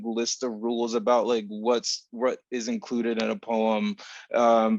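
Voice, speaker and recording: A man speaking reflectively as a writer on a panel, deliberate and halting as he searches for precise words. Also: medium pitch, dry recording. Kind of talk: reflective panel talk about craft. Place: remote video call. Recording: dull video-call audio.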